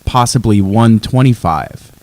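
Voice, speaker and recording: A man's voice: on the low side, unhurried, darkish tone. A man asking questions as a podcast co-host in a casual, conversational way.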